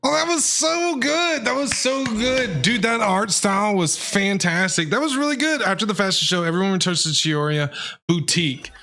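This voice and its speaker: A man's voice: high voice, normal speed, pushed and bright. A man doing casual, enthusiastic live game commentary as a streamer, repeating his exclamations. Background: game music.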